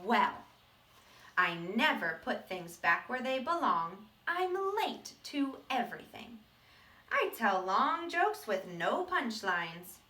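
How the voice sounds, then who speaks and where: Storybook role: naughty little boy seed, played by a woman, bright and swooping, dramatic confessions with stretched-out words. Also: on the high side, sing-song, heavily acted. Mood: boastful, naughty. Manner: dramatic, emphatic delivery with drawn-out words. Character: mischievous, self-declared bad little sunflower seed, male, confessional. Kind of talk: animated children's storybook read-aloud. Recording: roomy echo, distant microphone.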